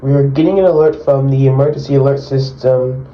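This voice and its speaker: A man's voice: mid-range voice, steady tone, fairly quick, close to an everyday voice. A man playing a formal TV news anchor delivering a mock breaking-news report. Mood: concerned. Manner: formal, announcing. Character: formal male TV news anchor during emergency. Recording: muffled lo-fi audio, faint noise.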